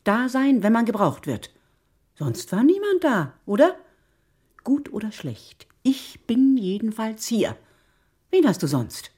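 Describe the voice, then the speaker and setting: A woman's voice: a little high, wildly swooping pitch, slow, dark tone, breathy edge, heavily acted. An actress playing a steadfast, reproachful older foster mother in a German radio drama, speaking firmly and insistently. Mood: insistent, hurt.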